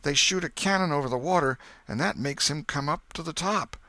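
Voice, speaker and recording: A man's voice: fairly high pitch, brisk, bright, forceful tone, no room sound, voice pushed up. A man voicing an unschooled, earnest country boy with folksy dialect in an audiobook story. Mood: eager, informative. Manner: folksy dialect with dropped grammar.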